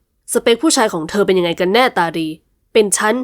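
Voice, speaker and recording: A woman's voice: mid-range voice, expressive, fairly quick, pitched below the performer's own voice, lightly acted. A woman voicing a furious, jealous young man who speaks harshly through gritted teeth, in a storybook reading. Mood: accusing.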